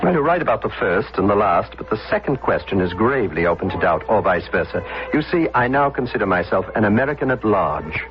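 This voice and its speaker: An actor playing a wry, breezy, rebellious upper-class son who narrates and banters in a period radio drama. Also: male voice, on the low side, very animated, brisk, few pauses. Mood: teasing. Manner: wry, playful, wordy banter. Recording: dull old radio recording with music.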